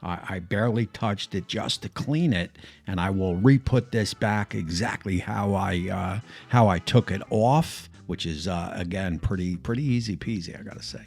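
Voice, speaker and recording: A man's voice: sits low, moderate pace, vocal fry, few pauses. A man hosting a backyard barbecue channel, talking casually through a smoker fix with lots of fillers and restarts. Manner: chatty, many fillers and restarts. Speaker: BBQ channel host. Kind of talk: casual how-to vlog.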